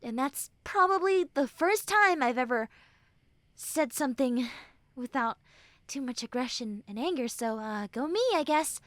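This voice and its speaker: A woman acts out a young tsundere friend in an intimate audio roleplay, her high voice moving slowly and bashfully. She is prickly by nature but now tender and earnest, often halting as she consoles. The sound is very dry and close. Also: expressive, fairly bright tone, dry recording. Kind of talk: intimate roleplay audio drama, spoken to the listener.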